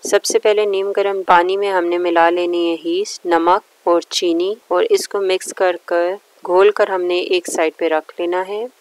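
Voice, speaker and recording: A woman's voice: on the low side, level tone, measured pace, few pauses, smooth, no room sound, rough recording. A woman narrating a recipe step by step in a plain, instructional way. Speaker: cooking show host.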